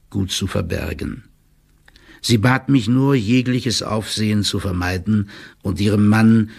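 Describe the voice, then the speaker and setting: A man playing a fussy, deferential court clerk, reading out a formal report in measured tones in an audio drama. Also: lowish voice, gravelly, husky, full character voice. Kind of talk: audio drama, letter read as monologue.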